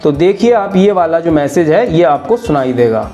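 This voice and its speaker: Man explaining a product, soft music behind. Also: fairly high pitch, very animated, moderate pace. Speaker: product reviewer. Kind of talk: unboxing and review narration, step-by-step demonstration. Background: background music.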